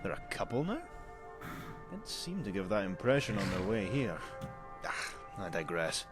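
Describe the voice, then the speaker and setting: Weary, sardonic traveling merchant, lively delivery, game dialogue under loud music. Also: male voice, on the low side, moderate pace. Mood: wry.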